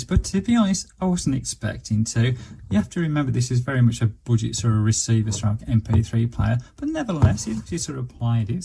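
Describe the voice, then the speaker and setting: A man's voice: medium pitch, creaky, warm tone. An English man giving a casual hands-on product review, chatting through each step as he goes. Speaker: reviewer of budget radios. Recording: noisy camera microphone, faint hiss.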